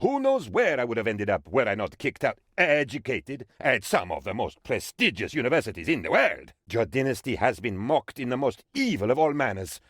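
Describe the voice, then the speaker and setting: A man voicing an excitable, pompous and dim-witted sycophantic aide to a dictator in a video game, with theatrical, naively enthusiastic delivery. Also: mid-range voice, moderate pace, few pauses, dry recording, studio quality. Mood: eager, pompous. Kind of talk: video game character voice lines.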